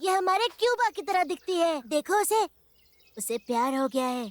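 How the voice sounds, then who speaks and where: A woman's voice: extremely high pitch, very animated, moderate pace, no room sound. A woman voicing a bossy but well-meaning young boy animal cub in a children's cartoon, blunt and childlike. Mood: curious, amused.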